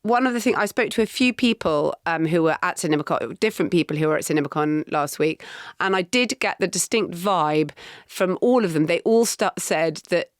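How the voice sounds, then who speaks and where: A middle-aged woman, co-hosting a casual podcast chat, animated and talkative, laughing often. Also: fairly low pitch, a little fast, no room sound, clean studio sound. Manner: animated, chatty, with laughs and restarts. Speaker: podcast co-host.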